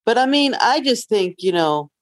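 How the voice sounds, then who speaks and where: A woman's voice: fairly high pitch, big pitch swings, moderate pace, no echo, clean studio sound. A woman co-hosting a podcast in casual conversation, emphatic and often interrupting herself to rephrase. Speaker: podcast co-host. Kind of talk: casual relationship chat.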